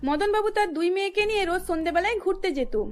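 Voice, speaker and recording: A woman's voice: very high voice, fast talker, faint music behind. A woman narrator reading a scripted cartoon story.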